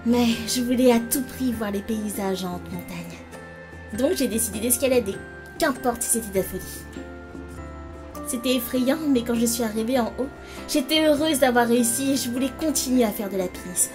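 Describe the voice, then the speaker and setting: A woman's voice: a little high, normal speed, over music, natural voice. A woman voicing a kind, encouraging older girl in an anime fan dub, explaining things in a supportive way. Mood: gentle, reflective.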